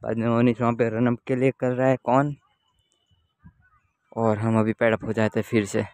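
Young man, chatty vlogger, outdoor cricket ground, camera at arm's length, mid pitch. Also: flat delivery, moderate pace, darkish tone, no echo. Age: young adult. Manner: chatty, addresses viewers directly. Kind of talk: casual vlog narration. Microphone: handheld camera at arm's length.

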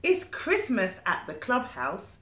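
Female storyteller, thirties, nanny style, very animated and expressive, recorded in a roomy living room. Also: fairly high pitch, takes it slowly. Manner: expressive, asks the listener questions. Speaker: nanny reading a storybook to children. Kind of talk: animated children's story reading with asides. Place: small living room, indoors.

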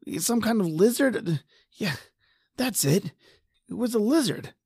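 A woman's voice: mid-range voice, expressive, normal speed, darkish tone, husky, no echo, studio quality. A woman playing a frightened, injured man hiding in a tunnel, stammering and panicky, in an old-time radio drama. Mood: tense, hushed.

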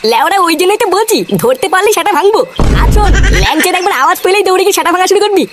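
A woman's voice: very high-pitched, racing, noisy background. A woman voicing a brash, foul-mouthed Bengali village troublemaker in a comic cartoon, with cheeky, crude banter. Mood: insistent. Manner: brash, cheeky, swearing constantly.

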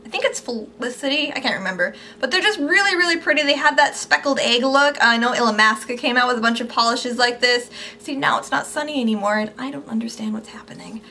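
A young woman chatting casually and gushing over her purchases in a haul video, her voice a little squeaky from overuse. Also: fast talker, sharp tone, slight background noise. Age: young adult. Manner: chatty, upbeat, gushing about products. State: losing her voice, slightly squeaky. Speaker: beauty vlogger. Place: small indoor room at home.

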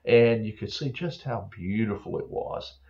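An older man preaching a Bible story to children in a slow, earnest way, with many fillers and throat clearing, recorded on a webcam in a home office. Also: on the low side, darkish tone. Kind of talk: gentle storytelling sermon for children. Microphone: webcam on a desk. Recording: dull lo-fi webcam audio.

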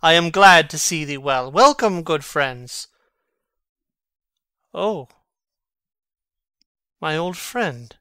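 A man acts a young prince in a Shakespearean drama, witty and mocking as he feigns madness. His voice is highish, very bright and clean, recorded close and dry. The delivery is theatrical, with a sly, sardonic edge. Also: lively intonation, extremely slow, pauses often, smooth, no echo, heavily acted. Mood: jovial greeting. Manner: theatrical, teasing, archly sardonic.

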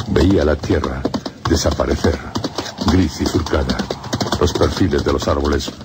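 A man narrating a gothic horror radio drama in a solemn, measured way. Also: a bass voice, very noisy. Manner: solemn, measured storytelling in short phrases. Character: grave male narrator of a gothic horror tale. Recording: music under the voice.

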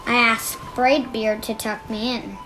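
A woman reading a children's storybook aloud in an expressive narrating style. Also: a little high, measured pace, low-quality recording. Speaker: children's book reader. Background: music.

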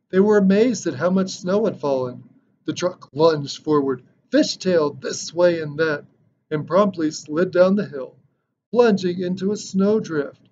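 A man in his thirties reading a children's picture book aloud with expressive, dramatic storytelling. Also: sits high. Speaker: storybook reader. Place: indoor decorated room. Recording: dull lo-fi sound with background noise.

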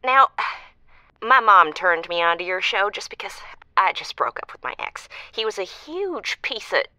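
A woman's voice: medium pitch, animated, normal speed, sharp tone, dry recording. An actress playing a chatty, jilted small-town woman calling a radio advice show, earnest and a little folksy. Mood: eager, earnest.

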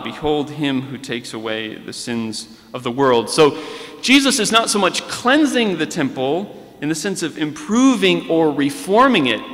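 Slow, bright-voiced preacher in a church. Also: male voice, on the high side. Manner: earnest, deliberate preaching, reading scripture aloud. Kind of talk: sermon. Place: large church sanctuary, standing at a pulpit. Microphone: pulpit microphone on a stand. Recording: long church reverb.

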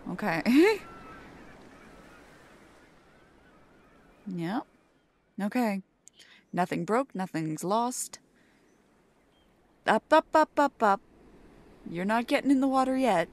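Slow-talking, playful girlfriend acted by a woman. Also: mid-range voice, slight background noise. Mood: practical, caring, then bossy, protective. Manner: teasing, mock-complaining, drawn-out emphasis. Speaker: ASMR roleplay creator. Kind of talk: ASMR girlfriend roleplay, intimate casual chat.

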